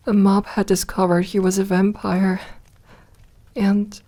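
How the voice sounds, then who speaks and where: A woman playing a lonely, solemn vampire in a soft ASMR audio roleplay, speaking in a measured, confiding way with reflective pauses. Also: medium pitch, little pitch movement. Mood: sorrowful, regretful.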